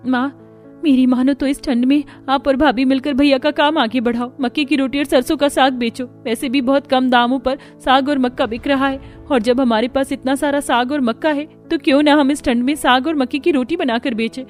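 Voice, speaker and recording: A woman puts on a practical young sister in a cartoon story. The voice is raised in pitch, eager and emphatic, urging and persuading, with music behind. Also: fairly even intonation, moderate pace, natural voice. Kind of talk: Hindi cartoon story dialogue.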